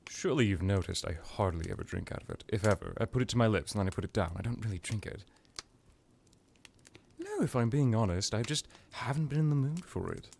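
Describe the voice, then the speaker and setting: A man playing a suave, menacing vampire lord in an ASMR roleplay, musing languidly with drawn-out words and condescending affection. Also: lowish voice, expressive, vocal fry, darkish tone, slight background noise. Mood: condescending, amused. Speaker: character roleplay performer. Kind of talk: ASMR villain roleplay monologue.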